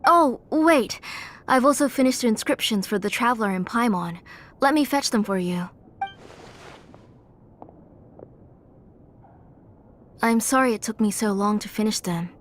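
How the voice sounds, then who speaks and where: A woman's voice: fairly high pitch, expressive, normal speed, a little hiss or hum, natural voice. An actress playing a frail, devoted mother and name engraver in a video game, earnest and solemn in manner. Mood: gentle, apologetic. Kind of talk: dramatic video game voice acting.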